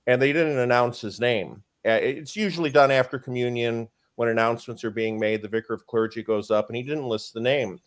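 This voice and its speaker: Booming-voiced priest, emphatic and indignant. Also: male voice, a little fast, hardly any pauses. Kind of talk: casual conversation on a live stream.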